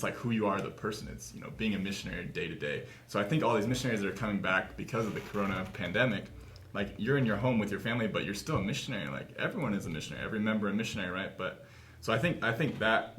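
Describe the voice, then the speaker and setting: Fast-talking young man with a very low voice. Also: fairly bright tone, slight background noise. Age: 20s. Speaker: author and guest. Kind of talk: casual interview chat. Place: small office meeting room, seated at a table.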